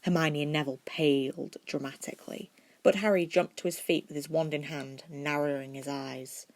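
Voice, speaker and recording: A woman with a slightly low voice narrates a story from a script. She reads expressively, in the manner of an amateur audiobook reader. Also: steady tone, moderate pace, no room sound. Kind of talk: scripted narration of fan fiction.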